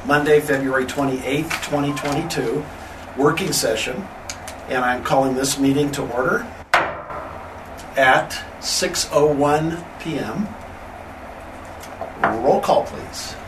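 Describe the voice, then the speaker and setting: A person chairing a school board meeting, brisk and procedural, with casual friendly asides. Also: mid-range voice, recorded from a distance. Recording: echoey room picked up from afar with noise.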